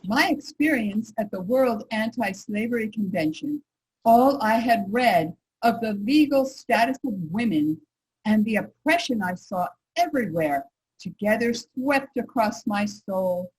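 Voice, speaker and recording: Earnest female reformer of the 1800s, woman performer, strongly husky, slightly high, formal recitation. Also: animated, unhurried, a little mellow, poor audio. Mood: earnest, resolute. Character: earnest, troubled 19th-century women's rights reformer. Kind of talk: reader's theater recitation.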